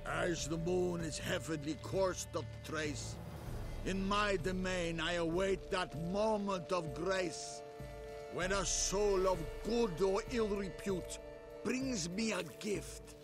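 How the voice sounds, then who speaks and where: An actor voicing a pompous fairy-tale bird king in a video game, slowly declaiming rhymed verse in a grandiose, theatrical way. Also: high-pitched, fairly even intonation. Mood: grandly solemn. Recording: music playing behind.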